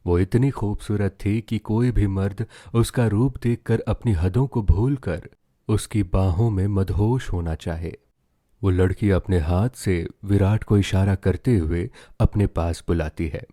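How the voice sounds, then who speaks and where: A man's voice: sits low, measured pace, dark tone, no echo, studio quality. A man narrating a scripted story as a dramatic storyteller.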